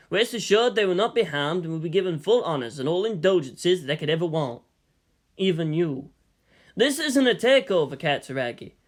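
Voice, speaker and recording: A narrator playing a weary male army general, formal and measured, who reasons and pleads firmly. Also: mid-range voice, fairly bright tone. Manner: measured, official, placating.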